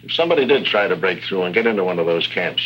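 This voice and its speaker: Low-voiced, earnest agency aide. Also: male voice, lively intonation, very fast, husky. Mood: grave, urgent. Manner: matter-of-fact, grave briefing. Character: brisk, earnest male intelligence office aide. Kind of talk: 1950s radio drama acting. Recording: dull, humming old radio recording.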